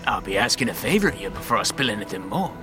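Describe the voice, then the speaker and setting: A man's voice: lowish voice, big pitch swings, fast talker, over music. A man playing a wary, streetwise rat catcher in a fantasy audio drama, slangy, cagey and brusque. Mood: gruff, conspiratorial.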